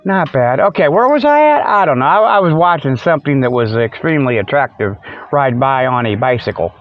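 A man's voice: medium pitch, expressive, fairly quick, no echo. An American man hosting a comic show in a rambling casual monologue, folksy and meandering, with joking asides. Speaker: host of a comic Sasquatch show. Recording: dull, lo-fi radio-like sound, close and dry.

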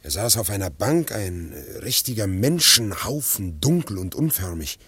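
Ein Schauspieler spielt in einem Hörspiel einen früheren Sekretär, der sich nachdenklich und bedächtig erinnert. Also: male voice, lowish voice, very animated, fairly bright tone, slightly rough, no echo, exaggerated voice. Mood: reflective. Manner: reflective, measured recollection. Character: thoughtful male former secretary. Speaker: former secretary. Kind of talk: radio play acting.

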